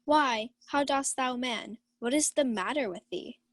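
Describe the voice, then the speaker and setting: Lady of the house played by a woman, proud and amorous, slightly high voice, formal Shakespearean delivery. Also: a little slow, no echo, clean studio sound. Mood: puzzled, commanding. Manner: formal, declamatory. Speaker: student actor. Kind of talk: Shakespeare in 1940s radio play style.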